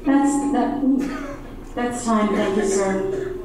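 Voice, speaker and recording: A woman's voice: on the high side, extremely slow, heavy reverb, recorded from a distance, background noise. A woman moderating a hearing, brief and procedural.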